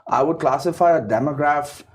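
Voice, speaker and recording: A man's voice: fairly high pitch, a little fast, husky. A man speaking as a guest in a casual panel discussion, often repeating words and ending points with "right?". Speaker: business setup guest.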